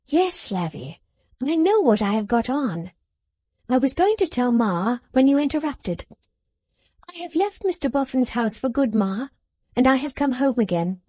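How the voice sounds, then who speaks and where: A woman voicing a spirited, affectionate young woman who speaks briskly and earnestly. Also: high voice, very animated, warm tone, no room sound, voice pushed up, exaggerated voice. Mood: lively earnest.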